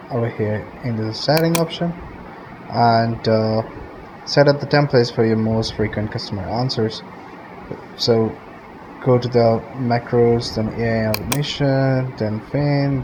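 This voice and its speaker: A man hosting a step-by-step software tutorial, narrating instructions to viewers. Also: medium pitch. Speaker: tutorial host. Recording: muffled lo-fi audio with clear background noise.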